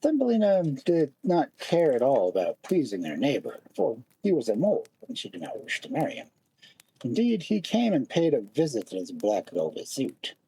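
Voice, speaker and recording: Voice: mid-range voice, huge pitch swings, normal speed, warm tone, husky, low-quality recording. A storyteller narrating a fairy tale expressively, with dramatic, lingering phrasing.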